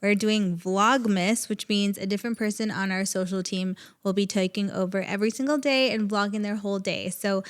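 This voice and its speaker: A woman co-hosting a podcast in easy, casual conversation. She sounds bubbly, smiley and friendly, with a voice of ordinary middle pitch. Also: steady tone, fairly quick, few pauses, clean tone. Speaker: podcast co-host. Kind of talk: casual chat.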